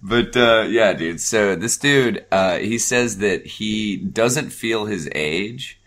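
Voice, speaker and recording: Deep-voiced laughing young man. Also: slow, a bit bright. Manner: laughs often, hesitant with many fillers. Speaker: podcast co-host. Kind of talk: casual podcast chat.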